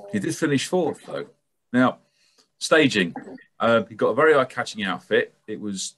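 A man's voice: lowish voice, a bit bright. A man in his forties giving a rambling, casual review on a video call, full of ums and sort-ofs. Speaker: panelist. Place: home room with bookshelves. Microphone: webcam on video call.

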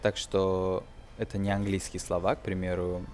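Low-voiced young man teaching, hesitant. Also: completely flat delivery, normal speed, music in the background. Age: 20s. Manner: hesitant, explanatory, switches into English examples. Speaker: English teacher, video host. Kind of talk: casual lesson commentary on a film.

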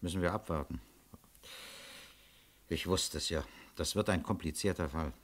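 A calm police chief in a radio crime play, lowish voice, terse, measured, used to giving orders. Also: male voice. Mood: pensive, decisive. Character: calm, authoritative male police chief inspector, pensive. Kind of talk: German radio crime drama acting.

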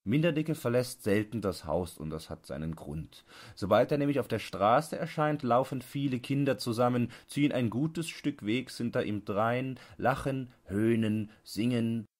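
A man narrating an audiobook, reading literary prose in a measured, carefully enunciated way. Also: mid-range voice, expressive, darkish tone.

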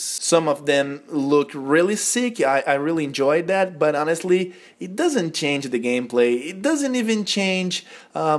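A young man from Brazil with a Brazilian Portuguese accent, a native Portuguese speaker, casually narrating a game review voice-over with enthusiasm and some hesitant restarts. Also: mid-range voice. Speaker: gaming video host.

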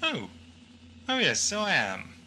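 Man playing an eccentric, sharp-voiced time traveller. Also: high-pitched, wildly swooping pitch, slow, a little hiss or hum, heavily acted. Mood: distracted. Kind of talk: audio drama acting. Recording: music behind amateur audio drama.

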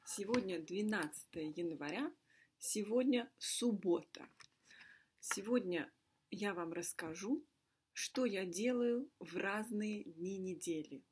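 A woman teaching a language lesson in deliberate, simple, carefully repeated phrases. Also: mid-range voice, a little mellow, slight background noise. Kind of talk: slow scripted language lesson monologue. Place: indoor room with a fireplace.

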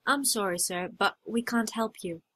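A young adult woman acting out short scripted everyday dialogues for a listening test, with clear expressive delivery. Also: mid-range voice, normal speed, slight background noise.

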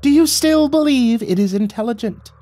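A man's voice: very high voice, animated, normal speed, dark tone, dry recording, pitched above the performer's own voice. A man voicing a tiny, imperious sprite king who speaks in pompous, scornful, archaic proclamations in a fantasy story. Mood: exasperated.

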